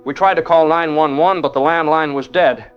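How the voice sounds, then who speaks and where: A man playing a shaken construction foreman who survived a massacre, giving a halting, grave eyewitness account in an audio drama news phone-in. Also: fairly high pitch, steady tone, faint music behind, close to an everyday voice. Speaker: interviewed survivor. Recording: dull lo-fi phone line.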